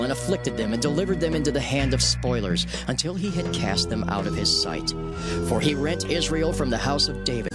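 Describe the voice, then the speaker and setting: A male narrator reads scripture as drama, solemn and measured, with emphatic phrasing. His mid-pitched voice moves with lively inflection over loud music.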